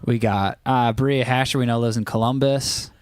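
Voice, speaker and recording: A man's voice: mid-range voice, fairly even intonation, moderate pace, clear, resonant tone. A man co-hosting a live stream in casual chat, talking in a chatty, playfully exclamatory way.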